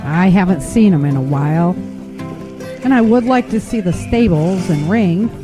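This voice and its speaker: A woman's voice: lowish voice, expressive, normal speed, natural voice, low-quality recording. An actress playing a dutiful, teasing woman in training as a fighter in an audio drama. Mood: reluctant. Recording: music behind the voice.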